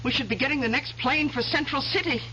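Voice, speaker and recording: An actress playing a devoted young wife in a 1970s radio drama, speaking hesitantly and stammering. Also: female voice, high-pitched, fast, lightly acted. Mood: earnest. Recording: muffled old radio broadcast with hiss.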